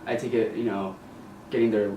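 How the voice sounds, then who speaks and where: A young man hosting a casual interview, eager and relaxed, often stumbling over his questions. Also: fairly low pitch, a little fast, muffled recording. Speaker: podcast host. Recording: echoey room, mic at a distance, faint noise.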